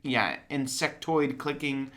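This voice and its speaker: A man co-hosting a podcast in casual, joking chat, his voice a bit raspy from yelling. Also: medium pitch, slow. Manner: enthusiastic, joking. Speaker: podcast co-host.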